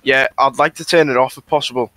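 A mouthy British suspect in a police roleplay game , voiced by a man. His voice is bright and forward, and he talks in blunt, mocking slang, needling whoever has him in custody. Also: medium pitch, fairly even intonation, fast, natural voice, poor audio. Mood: cheeky, mocking. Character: cheeky, mouthy young male suspect under arrest. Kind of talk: casual online police roleplay improvisation.

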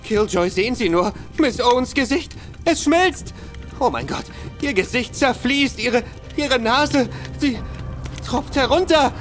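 An actor playing a timid, easily shocked young gentleman accomplice who hesitates and stammers. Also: very high-pitched, lively intonation, a little slow, soft background music. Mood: horrified. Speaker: reluctant accomplice. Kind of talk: studio audio drama acting.

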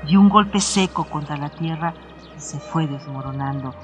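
A man's voice: on the high side, normal speed. Male narrator, scripted storytelling for radio drama, music bed. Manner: literary storytelling.

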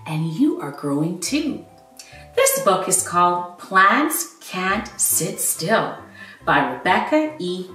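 A woman in her thirties reading a storybook aloud to young children as a teacher, with expressive emphasis and explanations. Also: a little high, distant mic. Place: small living room at home, indoors. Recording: roomy echo, away from the mic.

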